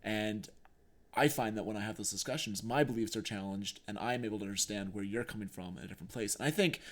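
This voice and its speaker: A man with a slightly low voice speaks as a podcast co-host. He talks casually and earnestly, as in a conversation. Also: fast talker.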